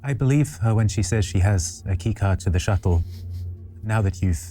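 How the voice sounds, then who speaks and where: A man with a very deep voice role-plays a company android in a tabletop game. He is measured and deliberate, and music plays clearly behind him. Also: dark tone.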